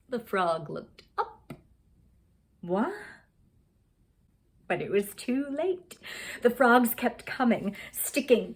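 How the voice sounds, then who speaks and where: A woman actress giving a dramatic reading of a fairy tale, expressive, with big swings in pitch and loudness and hushed quieter moments. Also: slightly rough.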